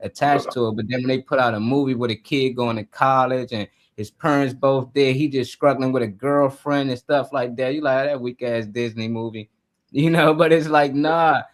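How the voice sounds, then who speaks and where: A man's voice: mid-range voice, fairly even intonation, normal speed. A man with a mid-range voice hosting a casual live discussion. He talks informally and colloquially, laying out his opinions like someone telling stories to friends. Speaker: talk show host.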